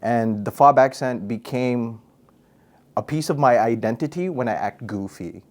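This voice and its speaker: Young man from Guam, radio host and MC, relaxed and playful, casual interview tone. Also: medium pitch, a little slow. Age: young adult. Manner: goofy, laid-back, chatty with fillers. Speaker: radio personality and MC, interview guest.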